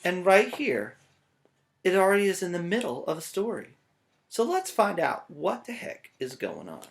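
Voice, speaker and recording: A male teacher reads aloud to children. His pitch swings hugely up and down, and he playfully pauses to put questions to his listeners. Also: wildly swooping pitch, creaky. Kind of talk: animated children's story read-aloud.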